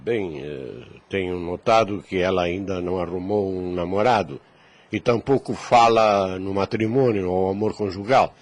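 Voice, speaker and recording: Low, husky-voiced, concerned priest played by a man. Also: normal speed. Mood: hesitant, concerned. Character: concerned, kindly old-fashioned priest and family counselor. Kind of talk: audio drama acting.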